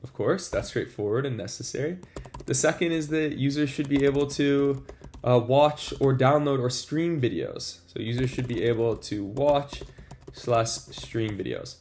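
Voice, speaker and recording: A man's voice: medium pitch, darkish tone, slight background noise. A man hosting a tutorial, explaining system design at a whiteboard in an upbeat, casual way, his voice a little congested from a cold. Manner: upbeat, casual, addresses viewers as "you guys". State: has a cold. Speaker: tutorial host.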